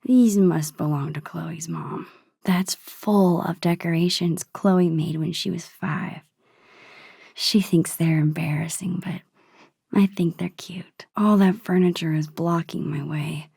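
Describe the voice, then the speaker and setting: Soft, breathy teenage girl. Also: fairly low pitch, steady tone, slow pace, dry recording, studio quality, exaggerated voice. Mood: musing. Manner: gentle, musing, talking to herself. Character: teenage girl, shy, wistful, nerdy and sentimental. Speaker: video game voice actress. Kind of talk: introspective game character monologue.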